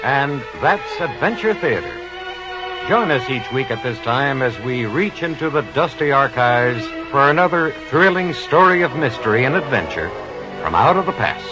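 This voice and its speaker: A man's voice: medium pitch, expressive, normal speed. A man working as a radio announcer, reading a scripted programme sign-off. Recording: dull old radio recording over music.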